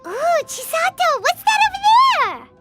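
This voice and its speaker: A woman voicing a tiny, excitable, childlike fairy companion in a video game, exclaiming eagerly. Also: extremely high pitch, normal speed, over loud music. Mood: excited, amazed. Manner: exclaims eagerly, refers to self in third person. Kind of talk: animated video game voice acting.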